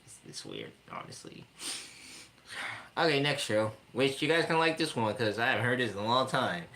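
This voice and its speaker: Guy ranting on his stream, repeats himself, trips over his words a lot, bright punchy voice. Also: medium pitch, big pitch swings, normal speed, creaky. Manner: ranting, repetitive, stumbling restarts. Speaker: streamer host. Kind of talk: casual livestream rant.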